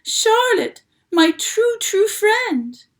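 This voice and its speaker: A frantic young boy pig, shrill and loud, begging in a rush of emotion, as a woman puts him on. He sounds desperate and pitches his voice high above an ordinary speaking voice. It is a storybook read-aloud. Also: sing-song, extremely slow, full character voice. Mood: anguished.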